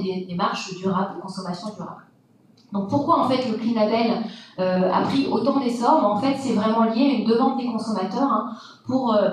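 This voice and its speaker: A woman lecturer explains food science in a measured, halting way, her slightly high voice ringing through a very echoey hall. She sounds distant from the mic, with faint room hum behind her. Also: a little mellow. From Brittany, France. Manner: measured, explanatory, with frequent hesitations. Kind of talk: public science talk.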